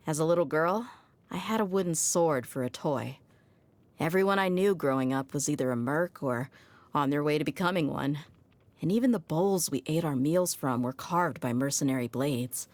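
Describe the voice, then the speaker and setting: Low female voice, young desert mercenary, tough, easygoing, frank, video game character, background music. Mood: reflective. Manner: casual, frank, offhand.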